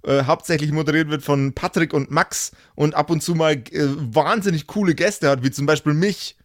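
A man's voice: fairly high pitch, normal speed. A man hosting a podcast in a jokey, self-deprecating, rambling, casual way. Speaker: podcast host and game master. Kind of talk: casual, improvised outro chat.

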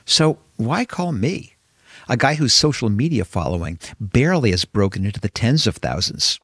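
A man's voice: mid-range voice, sing-song, moderate pace, a bit bright, husky, no room sound, clean studio sound. A man in his fifties hosting a podcast interview, enthusiastic and talkative, moving from a scripted intro into easy conversation. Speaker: podcast host.

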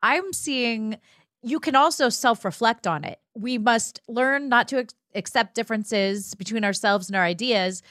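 A woman speaking earnestly in a reflective group discussion, choosing her words deliberately as a podcast panelist. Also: on the high side, fairly bright tone, no echo, studio quality.

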